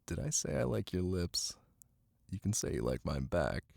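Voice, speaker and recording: Deep, gravelly, tender boyfriend played by a man. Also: big pitch swings, no echo, full character voice. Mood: tender, affectionate. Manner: soft, unhurried, teasing, with murmured pauses. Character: affectionate, playful, ticklish boyfriend reading aloud to partner. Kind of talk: intimate boyfriend roleplay ASMR. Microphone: close microphone. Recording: close, dry, with rain ambience. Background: rain.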